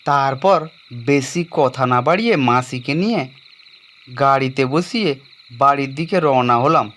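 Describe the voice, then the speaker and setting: A man playing an anxious young man with a guilty past, telling and acting out an animated horror story in a dramatic, suspenseful manner. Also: medium pitch, moderate pace, lightly acted. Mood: uneasy, suspenseful. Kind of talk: dramatized first-person horror story narration.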